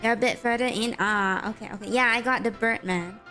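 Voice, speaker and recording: A young Malaysian-accented woman with a slightly high voice chats with her stream viewers as she plays. She reacts to the game, greets people in chat and reads game lines aloud. Soft game music plays underneath her voice. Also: fairly even intonation, a little fast.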